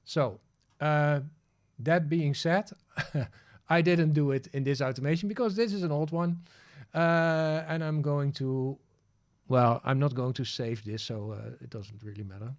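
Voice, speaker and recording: A man's voice: on the high side, unhurried. Dutch man thinking aloud in a tutorial. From Netherlands. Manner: thinking aloud, many fillers and restarts. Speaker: livestream host. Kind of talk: casual screen-share tutorial.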